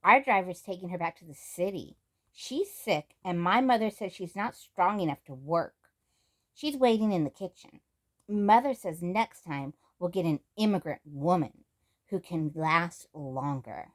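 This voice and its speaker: Storybook role: smug rich young boy, taunting and animated, played by a woman. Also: on the low side, measured pace. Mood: gloating.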